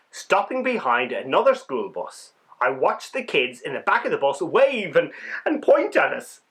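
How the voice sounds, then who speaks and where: A man voicing a small, excitable winged insect telling its adventure in a children's storybook, wide-eyed and dramatic. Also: fairly high pitch, full character voice. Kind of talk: children's storybook read-aloud.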